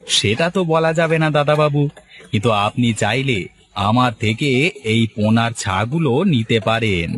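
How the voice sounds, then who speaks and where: A man's voice: medium pitch, normal speed, fairly bright tone, lightly acted. A man voicing a poor village fisherman and husband in a cartoon story. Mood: confident. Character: poor village fisherman husband, humble, worried, later cocky. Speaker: cartoon character voice. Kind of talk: Bengali cartoon story dialogue.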